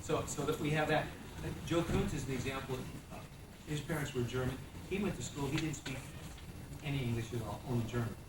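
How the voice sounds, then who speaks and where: A man's voice: medium pitch, fairly quick, echoey room, recorded from a distance, noisy background. A man moderating a community history panel, lecturing and prompting panelists with questions.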